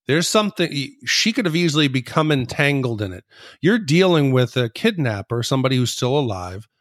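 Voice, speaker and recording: A man's voice: mid-range voice, sing-song, a little fast, dry recording, studio quality. A man hosting a TV recap podcast, talking in an explanatory way as he discusses a show. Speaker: podcast host.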